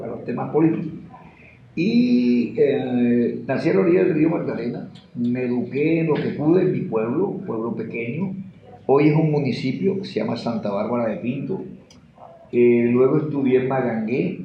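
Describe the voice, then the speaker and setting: A Colombian Caribbean man in his sixties talks in a reminiscing, conversational way, as an interviewee does. His voice has a gravelly fry to it. The recording is echoey and muffled, as if in a bare room. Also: medium pitch, slight background noise. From Caribbean river region of Colombia. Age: 60s. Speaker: interviewee, sociologist and teacher.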